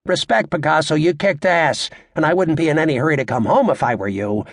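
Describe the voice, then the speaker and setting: A man playing a brash, crude stand-up comedian working a club crowd in an audiobook, with a raspy voice and a cocky, sarcastic, showy, needling delivery. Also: on the high side, brisk, no echo, studio quality.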